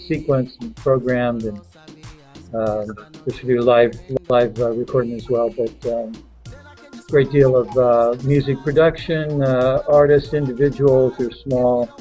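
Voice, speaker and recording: Measured man describing his studio. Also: mid-range voice, mellow. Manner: measured, listing details with fillers. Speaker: recording studio owner. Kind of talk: casual interview answers.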